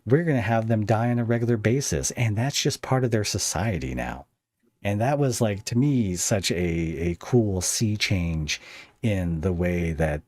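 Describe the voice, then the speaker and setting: A man with a very deep voice hosts a casual podcast chat. The sound is close and dry. He talks in a wry, hesitant, rambling way. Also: a little mellow, no echo. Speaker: podcast host interviewing a guest. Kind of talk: casual pop culture chat.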